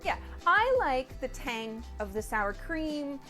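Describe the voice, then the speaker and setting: A woman's voice: high voice, sing-song, takes it slowly, pushed and bright, soft background music. A woman co-hosting a cooking stream from her home kitchen, chatting in a playful, rambling way. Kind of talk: casual chat while cooking.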